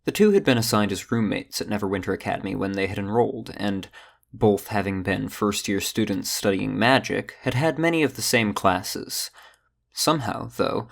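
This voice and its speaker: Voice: deep voice, gravelly. A narrator reading scripted narration for a fantasy audio drama.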